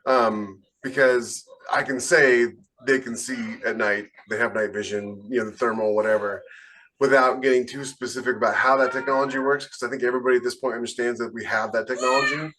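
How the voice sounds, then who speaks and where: A quick-talking man with a mid-range voice speaks as a podcast panelist. He sounds conversational, explaining things in a casual group discussion. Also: mid-range voice, fairly even intonation, bright, forceful tone, slight background noise.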